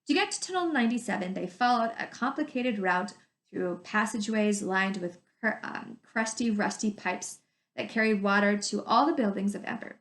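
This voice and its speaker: A woman teacher reading a story to children in an animated, emphatic way, adding her own commentary. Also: medium pitch, moderate pace. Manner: animated, emphatic, stretches descriptive words. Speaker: teacher reading aloud to pupils. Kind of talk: expressive storybook read-aloud with commentary to children. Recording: echoey room sound.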